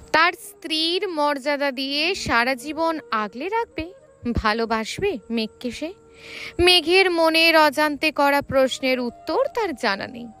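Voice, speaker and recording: High voiced lady reading a love story, dramatic narration, really animated pitch. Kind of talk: dramatic scripted romance narration.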